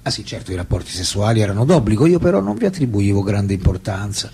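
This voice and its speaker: Radio play: detached French intellectual, lively intonation, very raspy, candid and reflective. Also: male voice, lowish voice, fast, full character voice. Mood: intimate, musing. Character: French intellectual man recalling childhood and love affairs, candid, detached. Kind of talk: radio drama acting, memoir monologue and dialogue.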